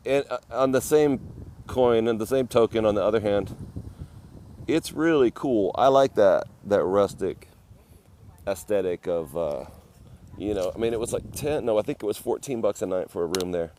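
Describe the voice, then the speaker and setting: A man's voice: lowish voice, normal speed, warm tone, slight background noise. A man livestreaming outdoors from a phone with an ear-worn mic, chatting casually, rambling, and greeting viewers by name. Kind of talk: casual live-stream chat. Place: outdoors at a garden cafe.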